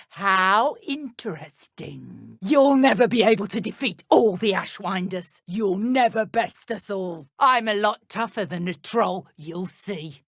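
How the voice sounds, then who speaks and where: A cruel British dark witch from a fantasy video game. She is haughty and contemptuous, taunting as she goes, and her pitch swings widely. The recording is close and completely dry. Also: no room sound. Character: cruel, sneering female dark wizard henchwoman, adult. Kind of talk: video game combat and idle voice lines.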